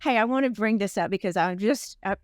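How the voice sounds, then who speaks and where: A woman hosting a casual interview, enthusiastic and quick to react and interject. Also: medium pitch, no echo, clean studio sound. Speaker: podcast host.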